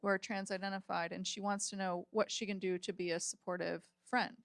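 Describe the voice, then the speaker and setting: A woman hosts a live panel discussion in a hotel event room, reading out audience questions. She talks in a chatty way, stumbling and restarting with plenty of ums. Her voice sits at a middle pitch, and the sound is dry and close. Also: little pitch movement, a little fast, creaky, dry recording. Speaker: panel moderator.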